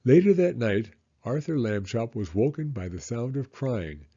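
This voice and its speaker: Slow, animated male storybook reader. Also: medium pitch, husky, highs cut off. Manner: deliberate, acts out character voices. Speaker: children's audiobook reader. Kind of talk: expressive read-aloud storybook narration.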